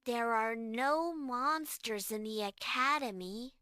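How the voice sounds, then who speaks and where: Drowsy, high-voiced young princess played by a woman. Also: slow pace, sharp tone, smooth, dry recording, clean studio sound. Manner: drowsy, mumbling. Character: sleepy young princess roommate, reassuring and half asleep. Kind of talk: children's bedtime storybook dialogue.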